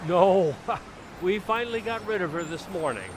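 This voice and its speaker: A man's voice: high voice, expressive, normal speed, noisy background. A man playing an eager, self-reproaching family man in a vintage-style radio comedy, with an earnest, theatrical and easily flustered delivery. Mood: eager, obliging. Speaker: community theater actor.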